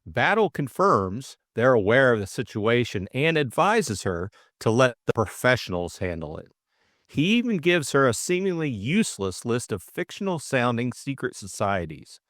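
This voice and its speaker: A man's voice: mid-range voice, sing-song, normal speed, fairly bright tone. A man narrating a scripted story expressively as an audiobook host.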